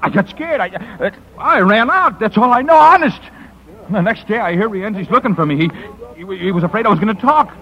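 Nervous, evasive suspect, stammering, high voice swinging widely, vintage radio drama sound. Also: male voice, animated, brisk, husky, exaggerated voice. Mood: panicked, pleading. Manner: stammering, hesitant. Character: nervous, evasive, cornered man under interrogation. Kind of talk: 1950s radio drama acting. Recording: dull vintage 1950s radio recording.

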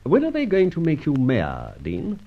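Radio serial: suave, mock-courteous masked rogue, hugely animated delivery, slightly husky, pompous and teasing. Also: male voice, a little high, moderate pace, exaggerated voice. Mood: teasing, commanding. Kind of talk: old radio serial acting. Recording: dull old radio recording.

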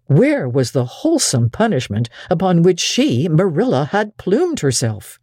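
A woman narrating a classic novel as an expressive, dramatic audiobook reader. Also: on the low side, unhurried, slightly rough, no echo, clean studio sound.

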